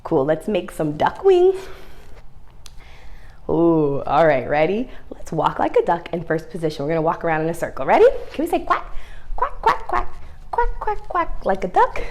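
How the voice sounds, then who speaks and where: A woman's voice: on the low side, wildly swooping pitch, moderate pace. A woman teaching young children through read-aloud and ballet, speaking in an encouraging, sing-song way with simple instructions and questions.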